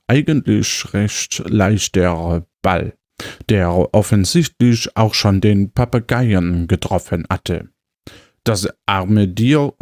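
Male actor as affected gourmet cannibal, low pitch, dry close sound, savouring, hesitant, French phrases, audio-drama diary. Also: darkish tone, no echo. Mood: affected, exclaiming. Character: gourmet cannibal diarist, man, genteel, food-loving, affected.

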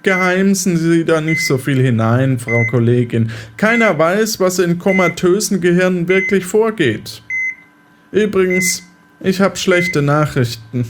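A man playing a sober, sceptical hospital doctor in a German audio drama, matter-of-fact and dismissive. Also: sits high. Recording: beeping hospital machines, clear noise.

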